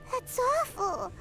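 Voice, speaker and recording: Casting: very squeaky little game fairy, excitable, whiny, swooping delivery, music underneath. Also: female voice, heavily acted. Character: tiny childlike fairy companion, excitable and easily upset. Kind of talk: video game voice acting.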